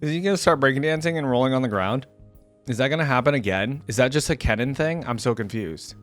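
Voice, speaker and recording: A young man giving casual, expressive reaction commentary as a video host, easily amused. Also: medium pitch, fast talker. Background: game audio and music.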